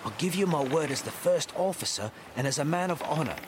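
A loyal first officer of a sailing ship, acted by a man, speaks formally and with deference. His voice is fairly high and animated, in audio drama style, over clear ship and sea ambience. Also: brisk, noisy background, natural voice. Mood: earnest, persuasive.

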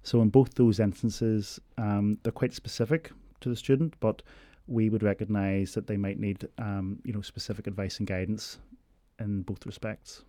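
A man explaining university learning support in a measured interview, pausing often on ums. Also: fairly low pitch, little pitch movement, dark tone, dry recording. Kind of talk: informational interview answers.

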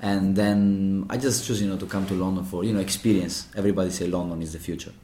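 A young man from Italy with an Italian accent, a bartender telling his story in an interview, speaking halting English with many restarts. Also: sits low, steady tone, warm tone, echoey room.

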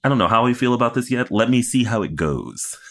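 A man's voice: medium pitch, big pitch swings, fast talker, a bit bright. A man co-hosting a podcast in a casual, conversational recap style, acting out imagined reactions as he goes. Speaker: podcast co-host.

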